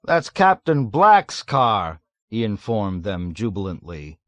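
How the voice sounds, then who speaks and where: A man's voice: medium pitch, expressive, unhurried, a bit bright, no room sound. Male reader as a jovial drunk army driver, brash, careless, dry close audiobook sound. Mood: boisterous. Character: boastful drunken adult male soldier driver.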